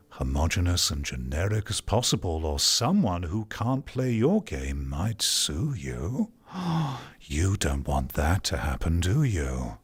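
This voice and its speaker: A man's voice: deep voice, husky, full character voice. A man voicing a smarmy, menacing corporate scold, mock-sweet and insinuating, in a theatrical parody. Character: smarmy, menacing corporate scold guilt-tripping game developers.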